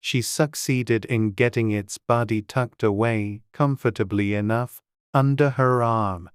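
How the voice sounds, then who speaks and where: A narrator reading a children's classic as a scripted audiobook, with a smooth, clear voice. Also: mid-range voice, fairly even intonation, a little slow, no room sound, studio quality.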